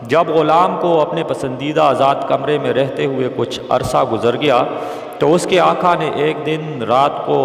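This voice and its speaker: A male narrator reads a religious moral tale in a formal, measured way. His mid-pitched voice has a creaky, gravelly grain. The recording is heavily echoed, with a long reverberant tail. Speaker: narrator of Islamic moral stories. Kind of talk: scripted religious storytelling narration.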